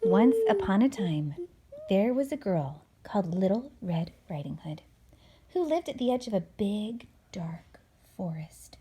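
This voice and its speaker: A woman reading a storybook to a child as a mother, dramatic and expressive, dropping into hushed tones for suspense. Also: mid-range voice, a little slow, slight background noise.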